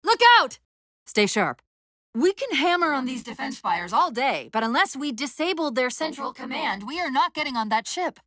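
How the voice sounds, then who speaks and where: A female AI companion in a military sci-fi game. She is quick-witted, earnest and strained, briefing a soldier crisply. Her voice swings widely in pitch, and the sound is very dry. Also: sing-song, a bit bright, no echo. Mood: urgent, alarmed. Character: female military AI companion, urgent, clever, wry, increasingly strained. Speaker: video game voice actor. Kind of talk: video game voice acting.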